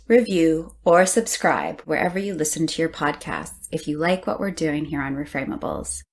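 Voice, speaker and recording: Female podcast host, slightly low voice, reading upbeat housekeeping notes to her audience. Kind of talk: upbeat scripted podcast announcement.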